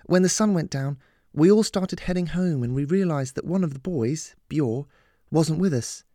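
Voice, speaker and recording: A man's voice: on the high side, moderate pace, mellow, no echo, clean studio sound, pitched above the performer's own voice, natural voice. A man voicing an earnest, shaken young girl in audiobook character dialogue. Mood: somber, earnest.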